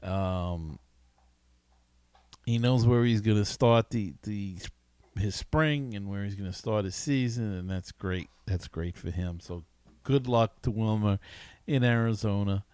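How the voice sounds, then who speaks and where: A man hosting a sports podcast, talking casually in a halting, conversational way. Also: fairly low pitch, a little mellow, clear, resonant tone, no echo. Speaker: podcast host.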